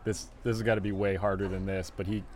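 Director guy talking fast and loose in an interview, monotone, lots of "you know". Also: deep voice, slight background noise. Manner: relaxed, rambling with many fillers. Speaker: film director and writer. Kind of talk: casual interview answers. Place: live at a film festival venue.

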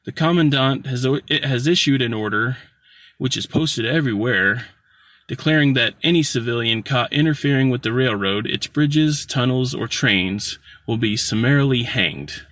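A man's voice: medium pitch, moderate pace, fairly bright tone, dry recording, recorded from a distance, natural voice. A man voicing a soldier in a storybook reading, reporting war news in a plain, informative way. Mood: matter-of-fact. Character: gray-clad male soldier reporting war news to a planter.

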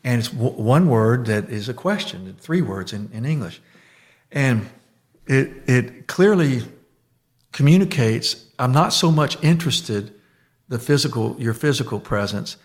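A man chatting on a podcast, rambling with many restarts and trailing off softly at the ends of thoughts. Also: medium pitch, expressive, unhurried. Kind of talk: casual conversational chat.

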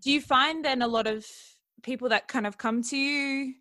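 A woman hosting an interview, encouraging, with frequent soft backchannels. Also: sits high, steady tone, moderate pace, dry recording, studio quality. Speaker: podcast host. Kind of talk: conversational interview.

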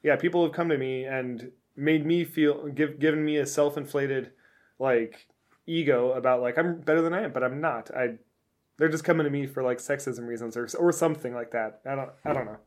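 A man's voice: mid-range voice, brisk. A man co-hosting a birding podcast in casual chat, rambling with restarts and joking about himself. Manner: rambling, self-mocking, many restarts. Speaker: podcast co-host.

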